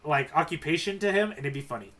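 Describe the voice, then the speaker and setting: A man's voice: fairly high pitch, animated, fast, a bit bright. A man co-hosting a podcast, talking casually and enthusiastically about comics. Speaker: podcast co-host. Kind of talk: casual manga discussion chat.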